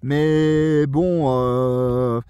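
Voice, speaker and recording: A man chatting on the radio outdoors on a mountain at night, relaxed and friendly as he explains his gear. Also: mid-range voice, drawn-out words, clean tone, dry recording, studio quality. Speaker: radio amateur and YouTuber. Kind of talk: casual radio chat.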